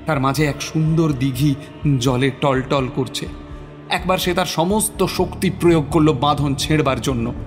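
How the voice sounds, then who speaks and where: A man's voice: on the high side, normal speed. A man narrating a horror audio story from a script in a dramatic, suspenseful, theatrical manner. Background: music.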